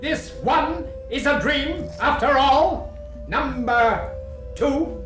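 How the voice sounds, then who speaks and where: A man plays a bitter, defiant prisoner with a slow, sardonic drawl and a high-set voice. The recording is echoey, with faint music in the background. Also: full character voice. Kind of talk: amateur audio drama. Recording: echoey room.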